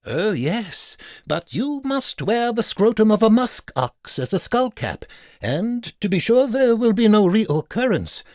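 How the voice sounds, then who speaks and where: A man's voice: high-pitched, sing-song, a little slow, a little mellow, dry recording, pitched above the performer's own voice, full character voice. A man acts out a Victorian doctor in a comic audio story, solemn and fussy. His formal speech rises and falls theatrically, in a close, dry sound. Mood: earnest. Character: grave, formal, fussy male Victorian physician.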